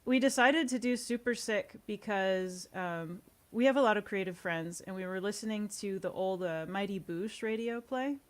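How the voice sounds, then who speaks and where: A woman speaks as an interview guest in a casual, informal way. Her voice sits at an average pitch for a woman, and her talk is conversational and unscripted. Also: normal speed, hardly any pauses. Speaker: interview guest, audio series co-creator. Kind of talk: casual chat.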